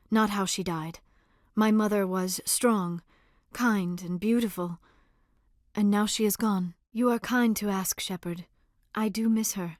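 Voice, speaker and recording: An actress plays a young alien woman scientist from a video game, earnest and gentle in temper. Her voice sits at a middling pitch, with formal, measured delivery and careful diction. The recording is dry and close, with no room sound. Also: no room sound, lightly acted. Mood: sad, tender. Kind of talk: scripted video game character dialogue.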